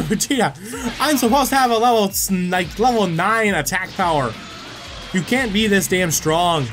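A man's voice: high-pitched, a bit bright. A man giving casual, rambling gameplay commentary as he plays, swearing freely and often repeating himself. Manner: profane, rambling, often repeats words and exclaims. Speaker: gaming video creator and player.

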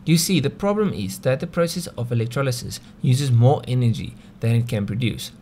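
A man's voice: medium pitch, expressive, fairly quick, dark tone, a little hiss or hum. A man with a South African accent narrating a scripted explainer video in a conversational way, often posing rhetorical questions. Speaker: video host.

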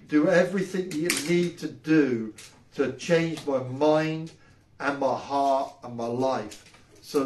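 Fifties male pastor, informal home sermon, emphatic, rhetorical, mid-high voice, faint noise. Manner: animated, emphatic, rhetorical questions. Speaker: house church pastor. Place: living room by patio doors.

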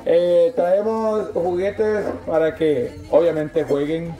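A Salvadoran man with a slightly high voice announces informally to a crowd outdoors. He gives colloquial instructions and repeats himself as he organizes things, with plenty of people audible around him. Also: fairly even intonation, slow, mellow. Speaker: host handing out toys. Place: outdoors on a village dirt road. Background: crowd of children and adults.

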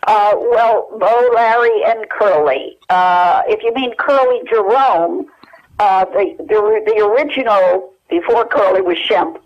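A woman's voice: medium pitch, takes it slowly. An older woman reminiscing as an interview guest over the telephone, in a rambling, hesitant conversational way. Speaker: interview guest sharing family memories. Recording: dull telephone line.

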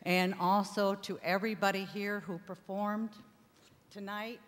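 An older woman giving an informal thank-you speech from a stage at a stand microphone, with halting, deliberate phrasing. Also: medium pitch, steady tone, noisy background. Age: older, 60s or above. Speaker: charity founder giving thanks. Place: theatre stage before an audience. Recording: reverberant hall sound.

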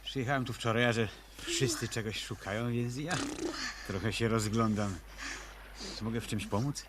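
An actor in a 1970s Polish radio play, playing a polite, gentle art historian who is an amateur detective. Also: male voice, medium pitch, normal speed, close to an everyday voice. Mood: polite, helpful. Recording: noisy old radio recording.

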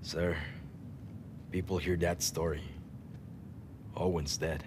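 A man's voice: low-pitched, level tone, takes it slowly, noisy background. An actor playing a loyal, blunt soldier in his thirties in a game, talking in a casual, plain-spoken way. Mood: concerned. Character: loyal, blunt young male militia soldier. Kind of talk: naturalistic game cutscene acting.

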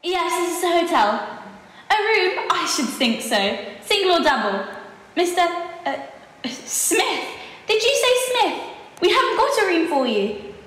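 A young woman playing a brisk, unwelcoming hotel receptionist in an amateur radio play. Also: very high-pitched, sing-song, fairly bright tone, a little hiss or hum, exaggerated voice. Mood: brisk, dismissive. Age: teenager or young adult. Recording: very echoey hall-like room.

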